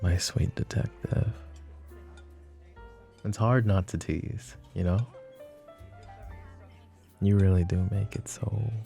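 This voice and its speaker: A smug mafia boss, acted by a man in a romantic audio roleplay, with a low, gravelly voice. He is flirty and possessive, teasing suavely and taking his time between phrases. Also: steady tone, warm tone, faint music behind, heavily acted. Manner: teasing, suave, unhurried with pauses. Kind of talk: romantic ASMR roleplay acting.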